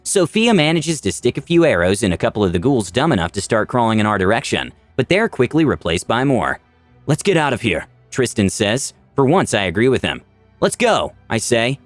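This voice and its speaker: A male narrator tells a fantasy tale with very lively intonation and dramatic delivery. His medium-pitched voice is recorded dry, with music playing faintly underneath. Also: brisk, dry recording. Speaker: channel narrator. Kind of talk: dramatic scripted story narration in first person.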